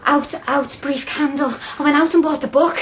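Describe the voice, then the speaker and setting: An actress plays a young working-class woman from Liverpool with a high voice, eager and cheeky. She chats bluntly and colloquially, in radio drama style, over faint background noise. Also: steady tone, normal speed, natural voice. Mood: breathlessly thrilled.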